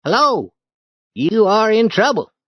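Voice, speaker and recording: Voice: medium pitch, sing-song, measured pace, slightly rough, no echo, clean studio sound, voice pushed up. A storyteller voicing an eager young boy who pleads and stammers. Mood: teasing.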